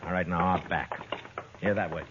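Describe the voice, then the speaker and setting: Actor as a gruff frontier marshal, old radio. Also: male voice, low voice, steady tone, moderate pace, lightly acted. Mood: brisk, commanding. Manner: gruff, commanding, clipped orders. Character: stern, practical US marshal in the Old West. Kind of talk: 1950s radio western acting. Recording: muffled, noisy old radio broadcast.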